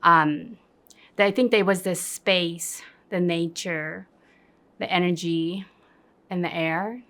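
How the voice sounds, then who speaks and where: Woman from Japan, slow, thoughtful, hesitant fillers, slightly low voice. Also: creaky, fairly bright tone. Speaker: interviewee. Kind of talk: documentary interview.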